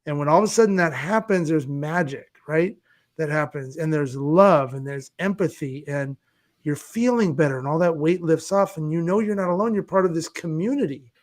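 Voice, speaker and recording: A man with a fairly high voice speaks as a podcast guest. His delivery is earnest and motivational, plain-spoken and emphatic, stretching some phrases and hurrying others. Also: normal speed. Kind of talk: earnest motivational interview talk.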